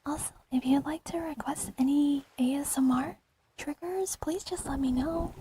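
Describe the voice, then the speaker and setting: A young woman whispering softly as an ASMR streamer, chatting with her viewers and giggling now and then. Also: high voice, moderate pace, very noisy. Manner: soft whisper, reads and answers chat, giggly. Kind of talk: whispered livestream chat with viewers.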